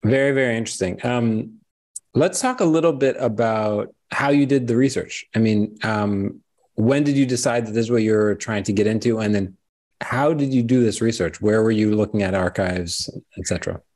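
Low-voiced male interviewer on video call. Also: normal speed. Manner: relaxed questioning with fillers. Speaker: interviewer host. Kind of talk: interview questions.

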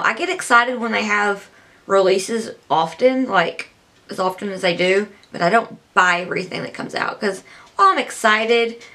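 Young woman beauty vlogger, scratchy allergy voice, rambling, excited, small room, close camera. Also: mid-range voice. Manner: chatty, rambling, enthusiastic. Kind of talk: casual talk to camera.

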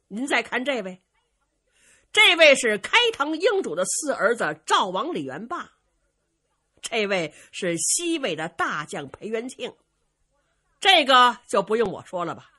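A woman voicing a bold, defiant young male general in a traditional storytelling performance, speaking loudly and declaiming. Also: fairly high pitch, husky, pitched above the performer's own voice, exaggerated voice. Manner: loud, declamatory storyteller delivery.

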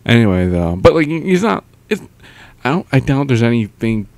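A man's voice: medium pitch, very animated, moderate pace, gravelly, a little mellow, no room sound. A young man rambling casually into a close mic with a pop filter at home, full of fillers and trailing thoughts. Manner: meandering, lots of fillers and trailing off. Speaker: audio vlogger. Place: home, indoors.

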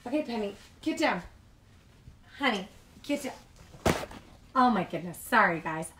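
A woman reading picture books aloud to young children like a childcare teacher, expressive and informal. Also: mid-range voice, measured pace, heavy background noise.

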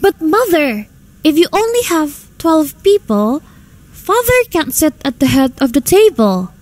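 Woman as a young daughter, radio play, high voice, very lively intonation, outspoken. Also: a little slow. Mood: urgent, protesting. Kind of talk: amateur radio drama acting.

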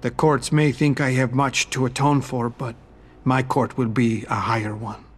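An actor playing a guilt-ridden, betrayed middle-aged surgeon in a video game, with a formal, anguished delivery. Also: male voice, mid-range voice, flat delivery, moderate pace, faint music behind, natural voice. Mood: despairing. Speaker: former surgeon. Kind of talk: video game cutscene acting.

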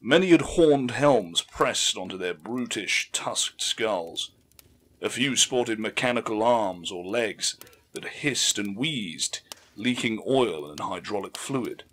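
Solemn low-pitched male audiobook narrator. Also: animated, takes it slowly, a bit bright, breathy edge. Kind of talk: scripted dramatic fiction narration.

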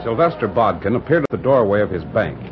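A man's voice: fairly low pitch, very animated, fast, over music. An American man giving scripted, solemn storytelling narration for a radio western. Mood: dramatic. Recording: muffled, noisy vintage radio broadcast.

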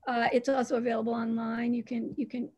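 Woman, interviewer, halting speech, husky, fairly high voice. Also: level tone, a little mellow. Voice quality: halting, many restarts. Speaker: interviewer, editor. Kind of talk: conversational art interview.

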